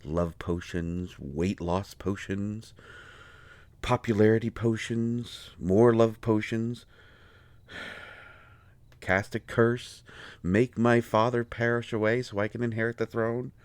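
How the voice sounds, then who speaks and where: A man's voice: on the low side, fairly even intonation, takes it slowly, pauses often, no echo. A man acting out a harried, protective royal court doctor in an audio roleplay, defensive and exasperated with a lover. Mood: bitter, sardonic. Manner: pleading, exasperated, swinging from soft explaining to flustered outbursts. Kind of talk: dramatic audio roleplay, one side of a conversation.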